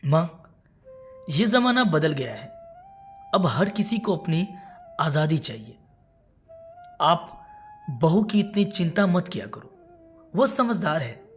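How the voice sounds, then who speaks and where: A woman's voice: on the low side, big pitch swings, takes it slowly, long pauses, soft background music. A woman voicing a careless, irritated adult son in a moral story, with a curt, dismissive manner.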